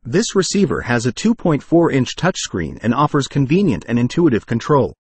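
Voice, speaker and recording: A man with a husky voice reads a scripted product review in a formal, explanatory way. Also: medium pitch, very animated, moderate pace, a little mellow, no room sound. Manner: formal, read-aloud explanatory delivery.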